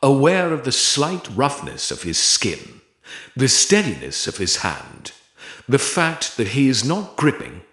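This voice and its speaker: Male narrator for dark romance audiobook: very slow, deliberate phrasing, sweeping intonation, roomy echo. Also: mid-range voice, wildly swooping pitch. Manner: deliberate, dramatic pauses between short phrases.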